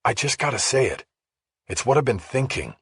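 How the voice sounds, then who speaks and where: A man acts out a suspicious male journalist in an audiobook thriller. He is blunt and pressing with his questions, his voice very husky and recorded dry. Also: medium pitch, big pitch swings, moderate pace, a bit bright, no echo, voice pushed up, full character voice. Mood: accusing, heated.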